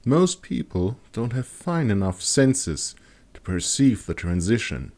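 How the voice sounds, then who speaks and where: Refined middle-aged woman scholar voiced by a man, raised pitch, poised, gently teasing, fantasy audiobook. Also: sing-song, takes it slowly. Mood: explaining. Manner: poised, playfully mocking. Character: refined blind female astrologer in her forties, playful.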